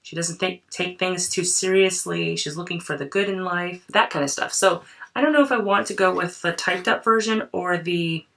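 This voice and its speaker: A woman's voice: fairly low pitch, normal speed, vocal fry, a bit bright, distant mic. A woman creativity coach, her voice affected by a cold, chatting casually and thinking aloud as she crafts in a journal.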